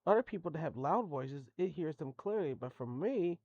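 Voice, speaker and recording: Soft-spoken man, dull dry sound. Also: expressive, normal speed, dry recording. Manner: soft, gentle, low-key speech. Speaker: listener talking with an AI. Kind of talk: casual talk.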